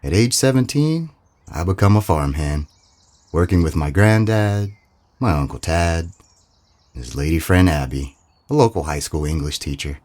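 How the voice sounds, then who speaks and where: An actor playing an earnest seventeen-year-old farmhand who narrates in a reflective, plainspoken way, as in a scripted audio drama. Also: male voice, deep voice, expressive, normal speed, a little mellow. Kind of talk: scripted first-person narration.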